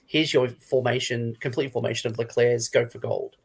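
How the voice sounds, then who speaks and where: A man speaks as a retailer guest in a casual hobby discussion. His voice sits at a middling pitch and has a noticeable creak through it. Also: steady tone, moderate pace.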